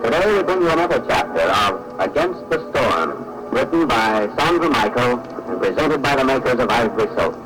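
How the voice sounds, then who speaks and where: A man's voice: mid-range voice, sing-song, normal speed, low-quality recording. A man announcing an old-time radio serial in a dramatic style over music. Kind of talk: dramatic old-time soap opera introduction. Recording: vintage radio, loud music.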